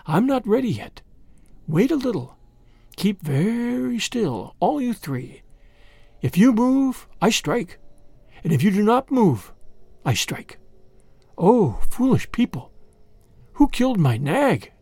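A narrator voicing a vengeful female cobra in an imperious, threatening, deliberate manner, for a storybook. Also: mid-range voice, sing-song, a little mellow, breathy edge, no echo, pitched above the performer's own voice, full character voice. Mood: menacing, cold.

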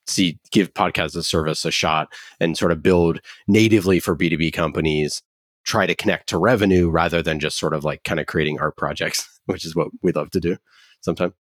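A man's voice: low-pitched, fairly even intonation, fairly quick, dry recording, clean studio sound. An American man telling his story as an interview guest in a conversational, explanatory way. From Oakland, United States. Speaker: podcast company founder, interview guest.

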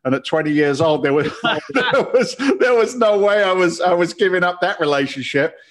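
A man's voice: high voice, lively intonation, normal speed. A man in his fifties from England, with an English accent tinged with American, chatting as a guest on a business podcast in a brash, jokey, slangy way. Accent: English mixed with American. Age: 50s. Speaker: podcast guest, real estate investor. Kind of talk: casual interview chat.

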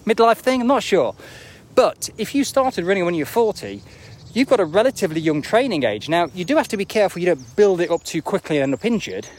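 A man's voice: high voice, very animated, fast. A man in his forties giving a casual, reflective motivational talk as a running coach on a live video, often checking in with rhetorical questions. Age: 40s. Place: outdoors, walking uphill near an airport.

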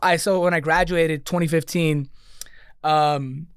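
New guy in his 30s, chatty and rambly on a podcast, kinda high voice, dry close mic. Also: steady tone, unhurried, clear, resonant tone, no room sound. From New York. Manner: loose and rambling, full of likes and dudes. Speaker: guest, social media creator. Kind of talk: casual podcast chat.

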